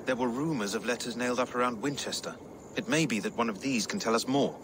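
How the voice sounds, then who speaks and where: An actor playing a young, foul-mouthed Saxon reeve in a video game, talking in a wry, emphatic, earthy manner. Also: male voice, mid-range voice, quick pace, background noise, natural voice. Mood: friendly, wry.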